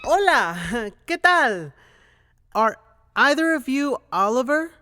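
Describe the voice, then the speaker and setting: A chatty young adventurer kid, in an audio drama. The voice is high and swings widely in pitch, with slangy exclamations. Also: female voice, very animated, takes it slowly, sharp tone, exaggerated voice. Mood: awkward, excited.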